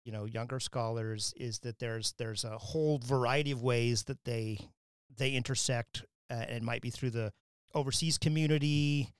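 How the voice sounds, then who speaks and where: A man from Wyoming hosting an academic podcast, talking in a loose, rambling way with many restarts and quick laughs. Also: medium pitch, fairly even intonation, smooth, dry recording, clean studio sound. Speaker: podcast host. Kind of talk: casual academic interview.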